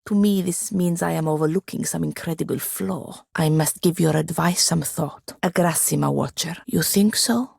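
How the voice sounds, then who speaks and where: Haughty young wizard with gravelly low-edged voice. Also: female voice, normal speed, few pauses, a little mellow, dry recording, studio quality, heavily acted. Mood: frank, uncertain. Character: proud, bookish, sharp-tongued young wizard woman, newly freed apprentice. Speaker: companion character in a fantasy role-playing game. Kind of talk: scripted video game dialogue.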